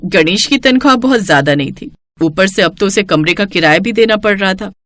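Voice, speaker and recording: A woman's voice: mid-range voice, expressive, a little fast, fairly bright tone. A woman narrating a scripted moral story in a storyteller's style.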